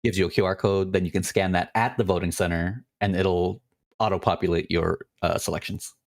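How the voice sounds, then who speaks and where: A man co-hosting a podcast in casual chat, dropping quick dry quips and teasing remarks. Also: deep voice, fairly even intonation, creaky, dry recording. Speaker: podcast co-host.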